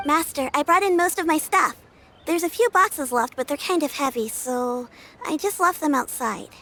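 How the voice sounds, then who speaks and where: A woman's voice: high-pitched, normal speed, bright, forceful tone, slight background noise. A woman playing a bashful young female apprentice in a video game, speaking hesitantly with stammers. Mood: casual. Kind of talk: video game cutscene voice acting.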